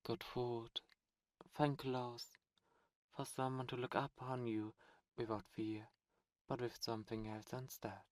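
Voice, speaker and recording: Guy doing a smooth tempting demon prince, fallen angel, mid pitch, slow and coaxing, dry close mic asmr. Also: little pitch movement, dry recording, lightly acted. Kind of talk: ASMR audio roleplay.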